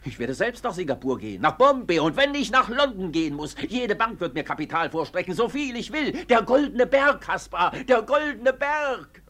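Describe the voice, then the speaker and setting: Husky, gold-obsessed older trader, excitable. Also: male voice, sits high, moderate pace, few pauses, heavily acted. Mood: feverish, excited. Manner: urgent, emphatic, swings between hushed and shouted. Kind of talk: German radio drama acting.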